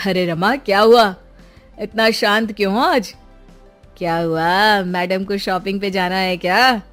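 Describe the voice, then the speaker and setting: A woman's voice: mid-range voice, unhurried, a bit bright, soft background music, lightly acted. A woman voicing a rich young businessman husband, teasing and dismissive, in a moral story. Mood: teasing, concerned.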